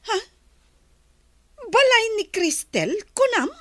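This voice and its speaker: A woman's voice: very high-pitched, very animated, slow, fairly bright tone, no echo, full character voice. A woman playing a suspicious, easily exasperated woman in an audio drama, indignant and challenging. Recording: dry, with music underneath.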